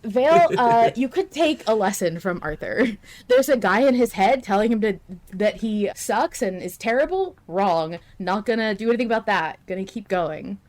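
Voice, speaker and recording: A woman with a medium-pitched voice talks as a podcast host in a loose fan discussion. She is animated and emphatic, circling back over her own phrases to drive them home. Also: moderate pace. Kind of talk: casual fan discussion of an audio drama.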